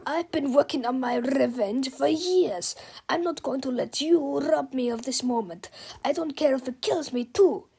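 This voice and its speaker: A woman voicing an excitable, cocky male villain in a read-aloud children's storybook, loud, brash and gloating. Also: high-pitched, measured pace, gravelly, husky, heavily acted. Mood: defiant.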